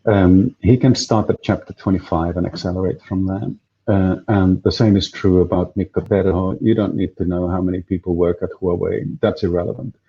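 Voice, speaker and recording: A man's voice: sits low, fairly even intonation, moderate pace, creaky, mellow, slightly rough, dull audio. A man organizing an event, talking casually on a video call, hesitant with many fillers and restarts. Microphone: headphones on a video call.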